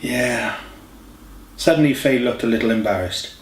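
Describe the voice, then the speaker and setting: A man's voice: medium pitch, lively intonation, moderate pace. A man reading a scripted story as an audiobook narrator. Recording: echoey room, distant mic, faint hiss.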